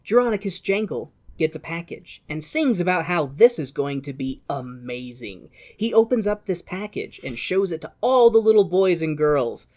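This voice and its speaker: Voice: medium pitch, animated, normal speed, few pauses, a little mellow. A podcast co-host giving a casual, jokey, irreverent retelling of a film.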